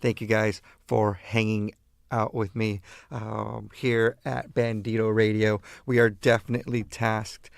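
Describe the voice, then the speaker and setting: American guy doing a radio show, real slow and crackly voice, talks in short chunks, laid back. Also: lowish voice, little pitch movement, vocal fry, no room sound, clean studio sound. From East Los Angeles, United States. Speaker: radio host.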